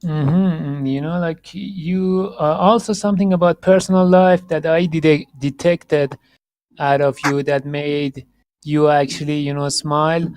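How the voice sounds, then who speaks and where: Halting male podcast host, dry sound. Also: on the high side, steady tone, a little mellow, no room sound. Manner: halting, many restarts and fillers, laughs often. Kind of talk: casual interview chat.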